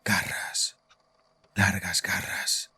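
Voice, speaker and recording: An actor playing a distraught, domineering father in an audio drama, with a gravelly, husky voice and a halting, anguished manner of confessing. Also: pushed and bright, whispered, heavily acted. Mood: frightened whisper.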